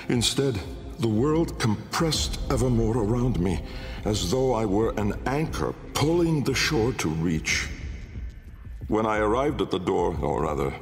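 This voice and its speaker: Old haunted scholar, deep slow voice, grave ornate game monologue, cavernous reverb. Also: male voice. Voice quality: gravelly, creaky. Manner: grave, measured, ornate, brooding delivery. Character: old, haunted, learned male scientist-noble recounting cosmic horror. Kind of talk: theatrical gothic monologue from a video game. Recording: long cavernous reverb under music.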